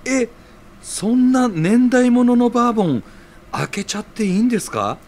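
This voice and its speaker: Man playing an easygoing, expressive male friend. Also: very high-pitched, moderate pace, heavily acted. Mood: cheerful. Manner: gentle, reassuring. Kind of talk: audio drama acting.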